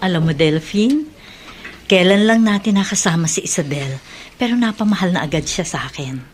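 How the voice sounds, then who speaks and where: An actress playing a warm, motherly middle-aged aunt in a Filipino radio drama, chatty and affectionate. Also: on the low side, expressive, normal speed, close to an everyday voice. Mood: fond, concerned.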